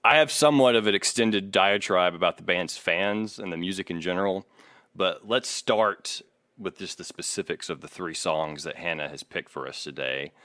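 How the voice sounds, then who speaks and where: A man's voice: deep voice, normal speed, pushed and bright, dry recording, studio quality. A man hosting a casual music podcast, with a wry, sardonic manner. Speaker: podcast host.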